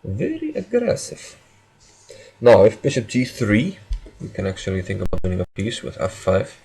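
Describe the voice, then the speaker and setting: A man in his thirties giving live chess commentary from a small room at his desk, thinking aloud over moves in a halting way. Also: on the low side, huge pitch swings, vocal fry, dark tone, poor audio.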